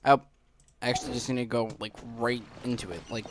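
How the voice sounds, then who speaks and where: A man's voice: medium pitch, fairly even intonation, brisk, background noise. A man giving casual let's play commentary as he plays a video game. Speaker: gaming streamer.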